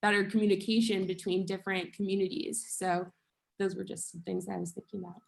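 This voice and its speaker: An American woman from the audience asking a question in an academic discussion, hesitant, with many ums, and trailing off softly. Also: medium pitch, little pitch movement, brisk, vocal fry, low-quality recording. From United States.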